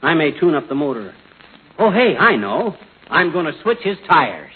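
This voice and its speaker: An actor playing a breezy, blustering, slangy husband in 1940s radio comedy style. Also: male voice, fairly high pitch, sing-song, moderate pace, breathy edge. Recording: tinny, noisy 1940s radio recording.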